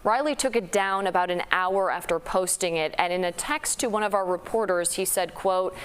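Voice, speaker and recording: A woman's voice: lowish voice, normal speed, smooth. A woman with an American accent delivering a scripted television news report as a reporter.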